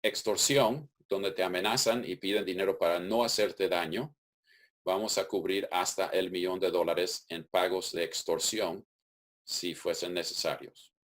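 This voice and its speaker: Deep-voiced man explaining steadily. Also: measured pace. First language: English. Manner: methodical, steady explaining with occasional restarts. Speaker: webinar presenter. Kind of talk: explanatory webinar presentation. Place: webinar.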